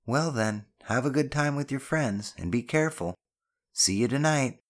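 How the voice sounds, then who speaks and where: A caring audiobook mother played by a male narrator, lifted well above his natural pitch. She is gently stern and a little self-conscious, and she chides with plain affection. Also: animated, moderate pace, close to an everyday voice. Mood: warm, caring. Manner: chiding but affectionate. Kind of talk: audiobook character dialogue.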